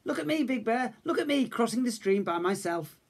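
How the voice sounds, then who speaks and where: A man's voice: very high-pitched, animated, a little fast, voice pushed up, exaggerated voice. A man voicing an eager, proud young bear cub, calling out in a picture-book read-aloud. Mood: excited, proud. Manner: calling out, exclamatory, childlike boasting.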